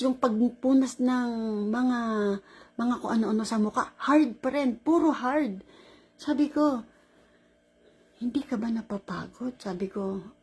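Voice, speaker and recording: Filipino woman in her sixties, husky. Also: fairly high pitch. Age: 60s. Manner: animated, laughing, mixes Tagalog and English. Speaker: caregiver. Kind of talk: casual storytelling vlog.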